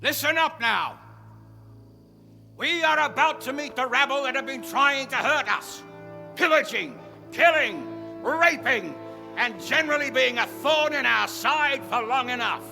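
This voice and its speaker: A rousing war leader in a medieval game drama. He declaims fiercely in a very high, rough-grained voice, with music clearly playing behind him. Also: animated, measured pace, exaggerated voice. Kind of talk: battle speech in video game cutscene.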